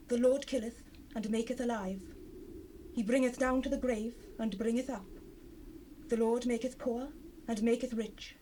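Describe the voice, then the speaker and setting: A woman's voice: fairly high pitch, dark tone, natural voice. A woman playing a devout, sorrowful biblical wife in a dramatized scripture reading, speaking fervently in formal archaic language on an old cassette. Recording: noisy unprocessed 1970s cassette rip.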